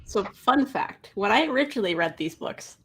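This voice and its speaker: A woman with a husky voice co-hosting a casual book chat on a livestream. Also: mid-range voice, lively intonation, normal speed. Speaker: co-host of a book readalong livestream.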